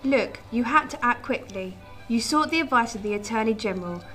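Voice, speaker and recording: A firm, higher-voiced political aide. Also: female voice, lively intonation, brisk, sharp tone, soft background music. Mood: reassuring, firm. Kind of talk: radio drama acting.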